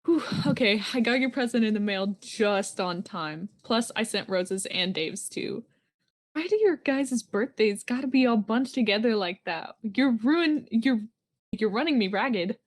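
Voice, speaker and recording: A woman's voice: a little high, lively intonation, unhurried. A woman voicing a goofy, eager teenage boy who is easily exasperated and talks in playful slang. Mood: eager, friendly.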